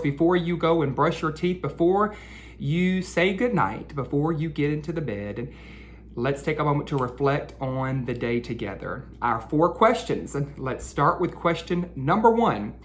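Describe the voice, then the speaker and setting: A man hosting a read-aloud for children, speaking directly to them with questions and drawn-out emphasis. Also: fairly high pitch, a little hiss or hum. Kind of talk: children's picture-book read-aloud and chat.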